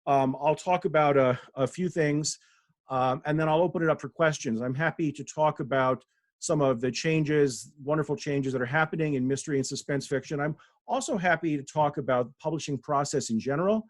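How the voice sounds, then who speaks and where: A man's voice: a little high, fairly quick, no echo, clean studio sound. A man from Denver giving a humble, self-deprecating talk as a guest author over a video call, with many fillers and restarts. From Denver, USA. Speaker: guest speaker, author and professor.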